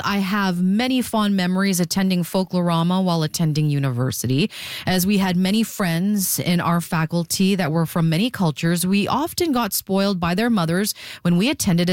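A woman's voice: medium pitch, dry recording, clean studio sound. A woman presenting live as a radio call-in host, addressing listeners and interviewing guests. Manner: reads phone numbers slowly, digit by digit.

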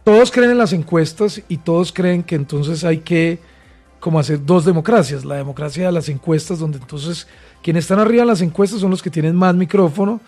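A man's voice: a little high, fairly quick, a little mellow, faint music behind. A man from Colombia with a Colombian accent, a former minister arguing as a candidate in a debate, emphatic and repetitive. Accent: Colombian Spanish. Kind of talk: political debate.

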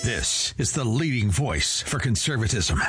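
A man's voice: medium pitch, big pitch swings, unhurried. A man reading a scripted radio station promo as the announcer. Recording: voice over loud music bed. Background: loud music.

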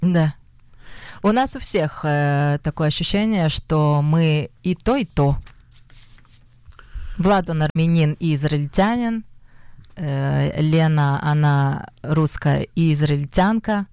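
A woman's voice: deep voice, slow, darkish tone, smooth, no room sound, clean studio sound. Woman with a Russian accent, hesitant. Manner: hesitant, searching for words, frequent fillers. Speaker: actress, interview guest. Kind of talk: conversational interview.

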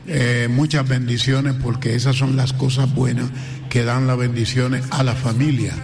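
A man's voice: mid-range voice, level tone, a little slow. Earnest Dominican man, radio guest. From Dominican Republic. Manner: earnest, effusive, grateful, speaks of blessings. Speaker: guest singer. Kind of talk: radio interview. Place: radio studio.

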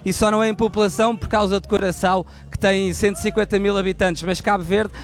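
A man reporting live from an outdoor fan gathering and chatting with the crowd on a handheld mic, lively and chatty. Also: high-pitched, fast talker. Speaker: field reporter.

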